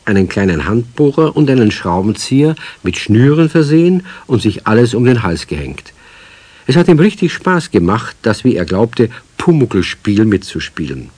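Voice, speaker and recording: A man's voice: medium pitch, darkish tone, breathy edge, no echo. A man narrating a children's radio play as a wry storyteller.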